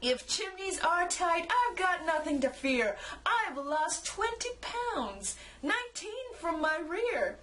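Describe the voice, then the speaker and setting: Woman playing breezy, boastful storybook Santa, high-pitched. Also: very animated, takes it slowly, few pauses, fairly bright tone, a little hiss or hum, heavily acted. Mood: proudly boastful. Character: jolly, carefree, boastful old Santa on holiday.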